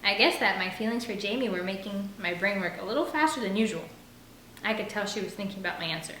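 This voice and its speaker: A woman's voice: mid-range voice, fast, pushed and bright. A woman narrating a first-person novel aloud as an audiobook reader. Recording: roomy echo with faint background noise.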